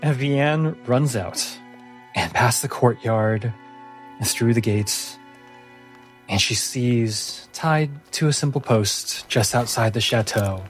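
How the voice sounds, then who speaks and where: A man's voice: medium pitch, takes it slowly. A man narrating a fantasy tabletop game as its game master. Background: music under the voice.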